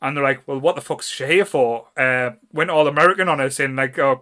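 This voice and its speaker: A man's voice: mid-range voice, fairly quick, sharp tone. A man with a North East English accent chatting casually as a podcast host, blunt, sweary and jokey.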